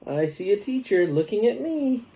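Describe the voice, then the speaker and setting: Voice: mid-range voice, big pitch swings, normal speed, warm tone, poor audio. An adult reading a picture book aloud to a young child, playful and sing-song, with exaggerated delivery. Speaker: parent-like storybook reader leading a child.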